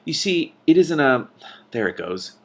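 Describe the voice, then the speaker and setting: Man reading as a calm, composed female dragon. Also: medium pitch, unhurried, pitched above the performer's own voice, exaggerated voice. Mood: calm, reassuring. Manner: composed, explanatory. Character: calm, matter-of-fact female dragon guiding a princess.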